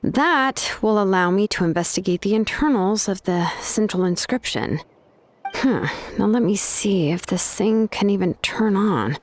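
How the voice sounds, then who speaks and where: A woman's voice: medium pitch, lively intonation, a little slow, a little hiss or hum, natural voice. A woman playing a laid-back but exacting young craftswoman in a video game, explaining things in a casual, matter-of-fact way.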